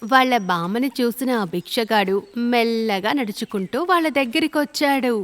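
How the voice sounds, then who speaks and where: A woman's voice: a little high, moderate pace, fairly bright tone. A woman narrator reading a children's story in a scripted storytelling style.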